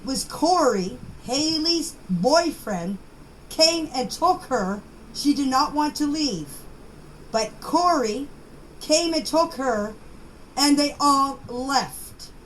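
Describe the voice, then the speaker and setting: A mature woman with a high voice tells her side in an informal, halting way. She is plain-spoken and insistent, taking her time over the words. The amateur voice clip carries faint background noise. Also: low-quality recording. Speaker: private person giving her account in voice clips. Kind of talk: informal spoken personal account.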